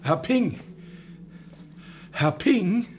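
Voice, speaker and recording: A man's voice: high voice, animated, very slow, a little mellow, a little hiss or hum, heavily acted. A man playing a terse, grumbling older loner in a German children's radio play. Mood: agitated. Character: grumbling, laconic older man living alone, Herr Burczik.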